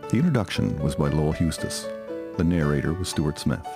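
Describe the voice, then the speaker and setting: A man's voice: rumbling low, expressive, fairly quick, creaky, music in the background. A man reading scripted credits as an announcer.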